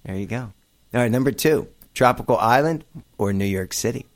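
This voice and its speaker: A man hosting an interview show, chatting casually and joking with quick asides. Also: medium pitch, animated.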